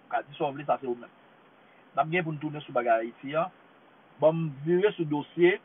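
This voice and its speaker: A man's voice: a little high, husky. A man from Haiti speaking with a Haitian accent in an impassioned monologue, repeating himself for emphasis. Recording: tinny phone-like line.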